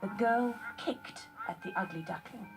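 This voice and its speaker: A woman narrating a children's story from a script in measured phrases with short pauses. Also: on the high side. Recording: music behind the voice. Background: music.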